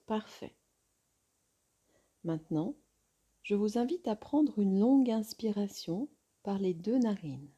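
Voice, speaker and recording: Very slow, soft, breathy woman guiding relaxation. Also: mid-range voice, expressive. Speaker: yoga nidra teacher. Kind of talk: guided relaxation meditation.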